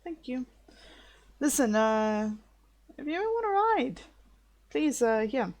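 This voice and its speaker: A woman playing a talkative, easygoing female rideshare driver in an improvised ASMR roleplay, rambling casually with hesitant pauses. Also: high voice, very animated, a little mellow, heavily acted. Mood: friendly, grateful. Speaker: driver character in an audio roleplay. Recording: clearly noisy, vehicle ambience.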